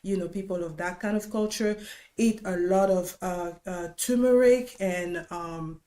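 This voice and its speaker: A woman's voice: on the low side, unhurried, mellow. A woman with a Congolese accent, a dentist hosting an informal educational livestream, speaking conversationally and instructively and repeating key points for emphasis. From Democratic Republic of Congo. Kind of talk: informal educational talk to viewers.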